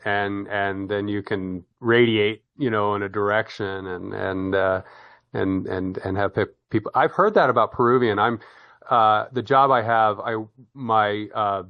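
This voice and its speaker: A middle-aged man hosts a relaxed conversation in a fairly low voice. He rambles, stumbles over repeated words and restarts, and laughs easily as he talks. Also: a little slow, lo-fi sound. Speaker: podcast host. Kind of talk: casual chat.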